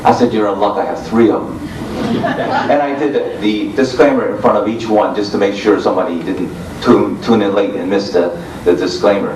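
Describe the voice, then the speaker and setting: A man who hosts radio, chatting casually on a panel in a halting, conversational way. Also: fairly low pitch. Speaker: radio host speaking on a panel. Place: convention room, informal video recording. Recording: echoey room, distant mic, faint noise.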